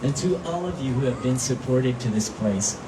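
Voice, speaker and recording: A man reading a children's story aloud at a live event in an expressive, earnest way. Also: medium pitch, brisk. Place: outdoor public event at animal habitat. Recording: roomy, distant mic, noisy outdoor ambience.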